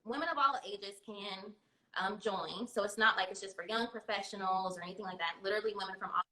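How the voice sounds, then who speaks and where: A woman's voice: medium pitch, quick pace, pushed and bright. A woman in her thirties, a guest on a casual video-call interview, chatty and laughing often, with many fillers. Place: home room. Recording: echoey room on a video call.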